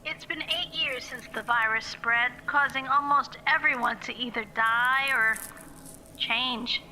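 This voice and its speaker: A woman's voice: sits high, measured pace, pushed and bright, a little hiss or hum, exaggerated voice, rough recording. A woman voicing a grim young female survivor narrating a post-apocalyptic film script in voiceover. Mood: grim, matter-of-fact.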